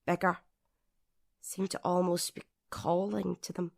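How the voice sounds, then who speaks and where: A woman's voice: lowish voice, level tone, a little slow, creaky. A woman playing a tough, wary survivor with a blunt, matter-of-fact manner in an audio drama. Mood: wary recounting.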